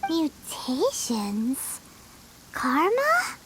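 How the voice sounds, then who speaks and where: Tiny squeaky childlike game fairy. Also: female voice, very slow, heavily acted, low-quality recording. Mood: surprised, curious. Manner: excitable, exclamatory, refers to self in third person. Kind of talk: video game character acting. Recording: music behind the voice.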